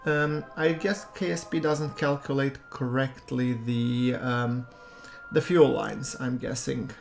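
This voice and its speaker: A man giving relaxed, chatty commentary over a space-game playthrough, with a soothing voice and self-deprecating asides. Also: medium pitch, slow pace, creaky, soft background music. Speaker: gameplay commentator. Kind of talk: casual let's-play commentary.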